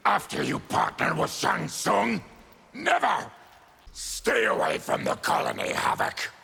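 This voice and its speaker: An actor voicing a bitter, proud monster warrior in a fighting game, with a harsh raspy growl and grim, formal delivery. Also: male voice, fairly high pitch, huge pitch swings, measured pace, pushed and bright, heavily acted. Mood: hostile, grim. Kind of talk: dramatic video game dialogue.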